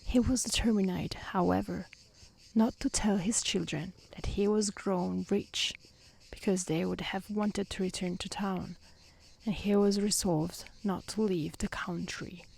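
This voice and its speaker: A woman reading a fairy tale aloud in a soft, near-whispered ASMR style, gentle and calm. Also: mid-range voice, gravelly, slightly rough. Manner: gentle, calm, unhurried delivery. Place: outdoors in nature.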